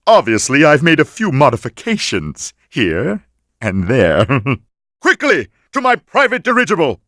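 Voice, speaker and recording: A man's voice: sits high, fairly bright tone, no room sound, studio quality, exaggerated voice. A man voicing a pompous, short-tempered mad scientist villain with a nasal, theatrical delivery in a cartoon video game. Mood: haughty, scheming. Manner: pompous, melodramatic, florid diction. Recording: noisy, with cartoon sound effects.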